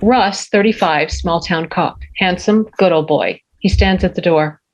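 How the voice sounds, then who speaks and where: A woman's voice: on the low side, a little slow, rough recording. A woman reading stage directions as scripted narration at a table read.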